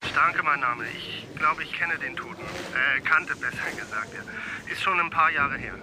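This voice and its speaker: A man acting a hesitant ordinary caller reporting to police in a radio play, halting and correcting himself. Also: on the high side, noisy background, lightly acted. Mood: flustered.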